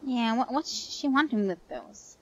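A woman's voice: on the high side, very animated, unhurried, lo-fi sound. A woman whose first language is German, chatting casually while she plays a game. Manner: chatty, thinking aloud. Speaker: streamer. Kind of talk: casual gameplay commentary.

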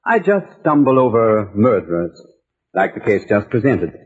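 Wry, husky newspaperman. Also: lowish voice, very animated, normal speed, darkish tone. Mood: easygoing, wry. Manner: conversational, wry storytelling. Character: easygoing, wry globe-trotting newspaperman. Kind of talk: old-time radio narration. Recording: narrow-band vintage radio recording.

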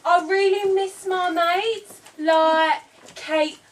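Puppet show cat, female performer: chummy, slangy, high-pitched, distant mic. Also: fairly even intonation, very slow, heavily acted. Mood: chatty, confiding. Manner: chummy, slangy, playing to the audience. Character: cheeky chatty cat narrating her tale, longing to play. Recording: distant camera mic, noisy room.

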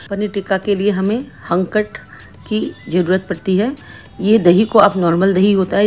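A woman's voice: medium pitch, a little mellow. A woman hosting her cooking channel, patiently explaining each step of a home recipe.

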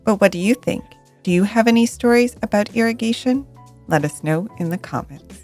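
A woman narrating a scripted educational video in a lively, emphatic way, stressing questions. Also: mid-range voice, fairly quick, soft background music. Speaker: presenter of land-buying explainer videos.

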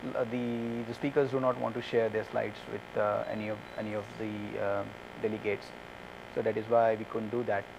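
A man's voice: on the low side, little pitch movement, a little fast, creaky, background noise. A man in his thirties, a conference speaker, answering audience questions with many fillers and restarts, on a lapel mic. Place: conference room with curtains.

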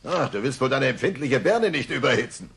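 Dim grumbly cartoon dad, croaky and swoopy, loud plain talker. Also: male voice, mid-range voice, lively intonation, a little fast. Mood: cheery, curious. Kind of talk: German cartoon dub acting.

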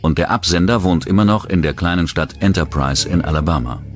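A man narrating a true-crime documentary in a measured, scripted delivery. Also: sits low. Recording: narration over loud music.

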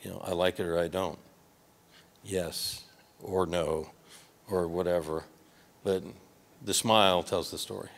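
Older Midwestern man, folksy and low. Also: steady tone, measured pace, pauses often. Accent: Midwestern American. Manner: conversational, folksy, trailing restarts. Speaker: award recipient speaker. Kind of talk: conference talk with audience Q&A. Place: large hotel ballroom stage. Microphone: handheld microphone at a podium.

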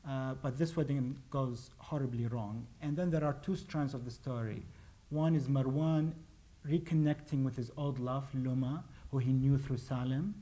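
A man's voice: medium pitch, fairly even intonation, moderate pace, warm tone. A man in his forties from Iraq, a playwright giving a talk about his plays, hesitant with many restarts. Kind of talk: lecture on his own plays. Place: lecture stage before a pale wall.

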